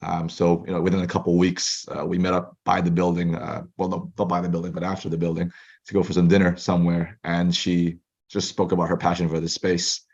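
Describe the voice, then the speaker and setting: Fast-talking deep-voiced young man. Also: completely flat delivery, gravelly, a little mellow, husky, highs cut off. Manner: fast, chatty storytelling. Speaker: guest, startup co-founder. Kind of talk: casual interview chat.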